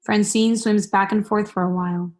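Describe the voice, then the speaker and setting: A woman's voice: mid-range voice, fairly even intonation, moderate pace, a little mellow. A young woman reading stage directions as scripted narration for a radio play. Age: young adult.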